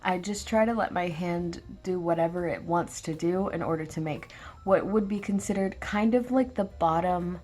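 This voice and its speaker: A young woman, a bit hoarse after just waking up, talking casually through a drawing tutorial with lots of fillers. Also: lowish voice, normal speed, a little mellow. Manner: rambling, filler-heavy, self-deprecating. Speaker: art channel host. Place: at a desk, filming her drawing from overhead.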